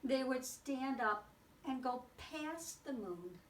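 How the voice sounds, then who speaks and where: A woman reading a picture book aloud to children like a teacher, expressive and exclaiming, with dramatic pauses and little asides. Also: high-pitched. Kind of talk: read-aloud storytelling for children. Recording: roomy, distant mic with faint noise.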